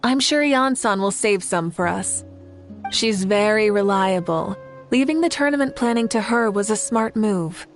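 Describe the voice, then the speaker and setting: An actress playing a kindly, composed young warrior leader in a video game, measured and reassuring. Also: female voice, mid-range voice, expressive, close to an everyday voice. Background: game music.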